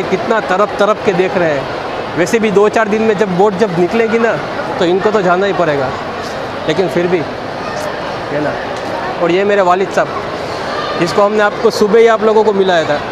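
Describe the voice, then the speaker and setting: A young man chatting casually to camera as a vlogger in a shopping mall. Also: sits high. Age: young adult. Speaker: vlogger, host. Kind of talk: casual vlog chat to camera. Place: large crowded shopping mall, indoors. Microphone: handheld camera at arm's length. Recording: loud, busy crowded mall noise.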